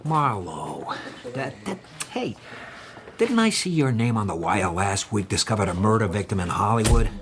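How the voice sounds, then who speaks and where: An actor playing a pushy, suspicious police officer in a radio drama, blunt and interrogating. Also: male voice, on the low side, very animated, normal speed, crowd noise. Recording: noisy radio drama recording.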